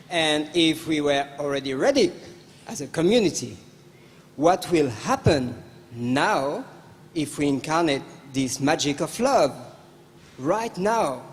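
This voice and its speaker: A fairly high-voiced man speaks slowly and earnestly on a panel, pausing to pose an open question to the room. His voice trails into a long hall reverb over faint ambience. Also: slight background noise. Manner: slow and searching, posing open questions. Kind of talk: earnest, reflective remarks.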